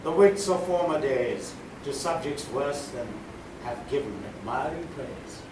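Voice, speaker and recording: Slow-paced man reciting poetry, echoey and noisy. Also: medium pitch. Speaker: poetry reader. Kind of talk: dramatic reading of sonnets with brief remarks. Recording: echoey room, distant mic, noisy background.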